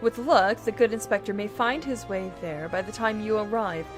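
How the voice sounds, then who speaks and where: A woman's voice: mid-range voice, normal speed, music in the background, lightly acted. A woman playing a haughty, scornful lady. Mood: cool disdain. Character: haughty, disdainful woman grudgingly giving directions.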